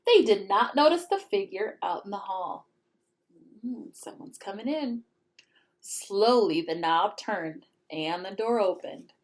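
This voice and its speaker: A woman reading a children's picture book aloud expressively, pausing to put questions to young listeners. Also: medium pitch, expressive. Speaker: read-aloud storyteller.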